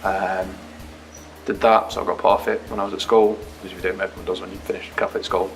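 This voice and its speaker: A man giving a relaxed, understated personal baptism testimony with frequent ums. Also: sits low, fast talker, faint music behind, poor audio.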